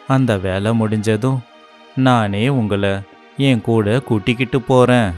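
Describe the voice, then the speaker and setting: A kind gentle prince god, low slow voice, soft music in the back. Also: male voice, fairly even intonation. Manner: gentle, reassuring. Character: kind, dutiful divine prince. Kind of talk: animated storybook dialogue.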